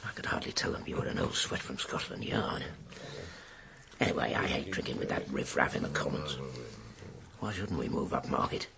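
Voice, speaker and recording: An actor playing a wry, cheeky, world-weary investigator, sardonic and unhurried, in a radio drama. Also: male voice, rumbling low, expressive, creaky, heavily acted. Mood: breezy, sardonic. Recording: heavy background noise.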